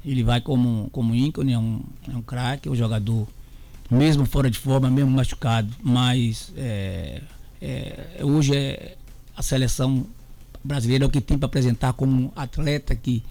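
A man on a radio sports panel gives firm opinions, halting and repeating his words often. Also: medium pitch, steady tone, vocal fry, mellow.

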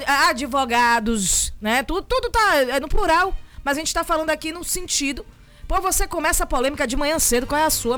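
A woman with a Bahian accent hosts a morning radio show in a studio, her voice high and bright. She talks in a lively, teasing way and sends shout-outs to listeners. Faint music plays behind her. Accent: Bahian Brazilian Portuguese. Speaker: radio host.

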